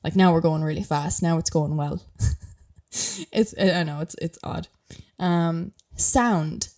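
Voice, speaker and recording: Young irish woman, mild accent, bit sick and coughy, mellow lowish voice, chatty podcast host. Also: measured pace. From Ireland. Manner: chatty, laughs often, rambling with fillers. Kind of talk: casual explanatory chat.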